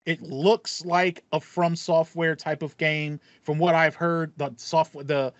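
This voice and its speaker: Higher-pitched man, halting podcast chat. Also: level tone, no echo, lo-fi sound. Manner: halting, repeats words as he thinks aloud. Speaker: podcast co-host. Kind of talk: casual gaming podcast chat. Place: small room with acoustic foam.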